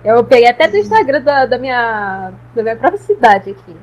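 A woman guest on a casual podcast, talking in a relaxed, slangy way over a call. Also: a little high, brisk, rough recording. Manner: relaxed, slangy, says "mano" a lot. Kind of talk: casual chat.

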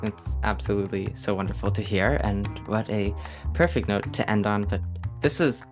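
Low-pitched gushing woman podcast host. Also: normal speed, music in the background. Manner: gushing, effusive. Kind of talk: casual interview hosting.

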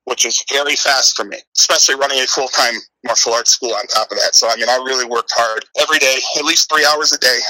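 A man's voice: medium pitch, fast talker, hardly any pauses, bright, forceful tone, no echo, low-quality recording. A middle-aged American man answering interview questions conversationally, rambling and repeating himself for emphasis. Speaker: interview guest, author and martial arts instructor.

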